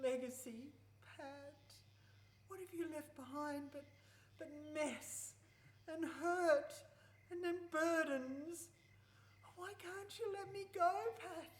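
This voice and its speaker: A woman playing a grieving, confused elderly widowed mother in a radio play, anguished and rambling, lonely and bitter. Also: high voice. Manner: anguished, rambling, repeats herself. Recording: roomy echo.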